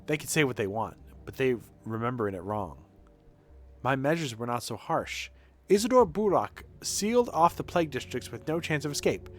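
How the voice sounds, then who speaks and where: A man voicing a proud, grave young aristocratic heir from a video game, speaking in a formal, measured, solemn way. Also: mid-range voice, big pitch swings, natural voice. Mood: defensive. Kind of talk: dramatic reading of game dialogue. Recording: game music behind the voice.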